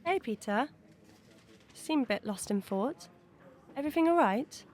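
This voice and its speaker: A woman playing a gentle, sympathetic young friend who consoles, in an audio drama. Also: high voice, animated, moderate pace, a little hiss or hum. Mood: concerned. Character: Chloe, sympathetic, supportive young female friend.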